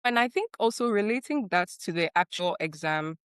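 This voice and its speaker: A woman's voice: mid-range voice, animated, a little fast, a bit bright, smooth, no room sound, clean studio sound. A woman from Nigeria, a webinar panelist earnestly sharing exam tips in casual discussion.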